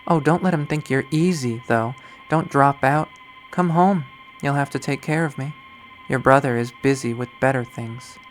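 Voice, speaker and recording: A man's voice: medium pitch, normal speed, soft background music, natural voice, poor audio. Man mimicking a nagging, belittling middle-aged mother. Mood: nagging, disapproving. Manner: scolding, nagging. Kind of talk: dramatic visual novel readthrough.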